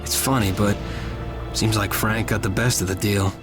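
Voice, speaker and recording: A man's voice: on the low side, fairly even intonation, moderate pace, close to an everyday voice. An actor playing a weary, reflective young US Marine, with an American accent, narrating his war in a plain, somber way. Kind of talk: scripted first-person war narration. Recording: loud music behind the voice.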